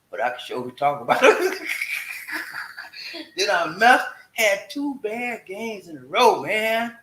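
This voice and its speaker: A man's voice: mid-range voice, pushed and bright. A man, hosting a casual livestream chat in a folksy, preachy way. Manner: folksy, preachy, breaks into singing. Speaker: vlog host. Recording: echoey room with faint hiss.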